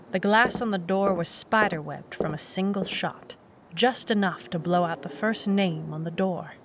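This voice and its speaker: A woman's voice: fairly low pitch, noisy background, close to an everyday voice. A woman playing a wry, world-weary, tough private eye, narrating a hardboiled noir audio drama. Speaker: lead narrator.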